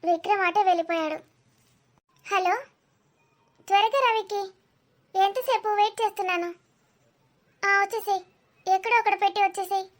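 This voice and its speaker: A woman's voice: very high-pitched, steady tone, normal speed, long pauses. A woman playing a young city visitor in a comedy cartoon, polite and questioning, puzzled, reserved and slightly impatient.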